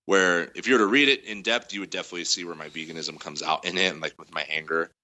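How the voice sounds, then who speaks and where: A man with a loud voice, a guest musician in a casual interview, telling a long story with lots of fillers. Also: deep voice, steady tone, fast, low-quality recording. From Denver area. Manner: rambling storytelling, heavy fillers.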